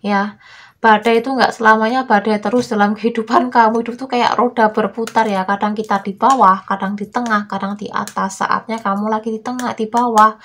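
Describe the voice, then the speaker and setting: A young woman with a cold, her voice small and hoarse. She talks her tarot viewers through it in a soft, reassuring tone, drawing out her words as she goes. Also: medium pitch. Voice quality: weak, small voice. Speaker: tarot reader. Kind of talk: casual tarot reading.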